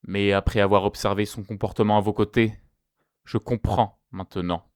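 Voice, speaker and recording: Stern, guarded headmaster acted by a man, measured and formal, lowish voice, close dry sound, webtoon dub. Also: little pitch movement, a little mellow, no echo, close to an everyday voice. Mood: measured, probing. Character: stern school headmaster, male, guarded and firm with authority. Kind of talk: amateur webtoon fan dub acting.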